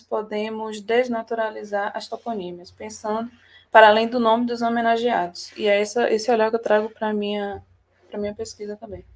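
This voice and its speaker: A woman from Salvador presenting her research paper at a remote academic conference, walking through her slides informally and correcting herself as she goes. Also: a little high. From Salvador, Bahia, Brazil. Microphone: computer mic for a remote talk.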